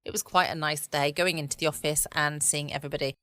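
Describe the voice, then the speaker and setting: A woman with an English accent hosting a podcast, chatting casually in a relaxed, rambling bedtime style. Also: deep voice, fast talker, smooth, no room sound. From United Kingdom. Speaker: podcast host. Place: at home, dry close recording.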